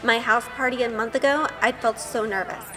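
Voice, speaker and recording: A woman playing an earnest, sweet young witch heroine who narrates an audio drama in a gentle, measured way. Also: on the high side, steady tone, big-room sound, soft background music. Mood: reflective.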